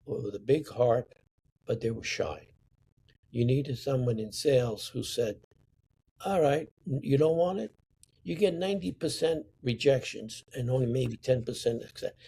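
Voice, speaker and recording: An older man from Sicily, telling stories from his life slowly and with emphasis. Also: medium pitch, mellow, husky. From Sicily, Italy. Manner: slow, deliberate, emphatic storytelling. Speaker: interview guest, artist. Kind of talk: reflective storytelling.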